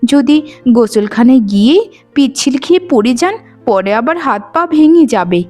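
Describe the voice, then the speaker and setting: A woman's voice: high-pitched, animated, moderate pace, a little mellow, soft background music. A woman voicing an earnest, wronged young daughter-in-law who pleads piously in a melodramatic cartoon. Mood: caring. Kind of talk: melodramatic cartoon moral-story voice acting.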